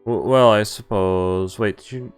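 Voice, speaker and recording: A man's voice: lowish voice, slow, clear, resonant tone, faint music behind. A man streaming a game, reading dialogue aloud and reacting in a casual, wry, sweary way. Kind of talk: casual let's-play commentary and read-aloud of dialogue.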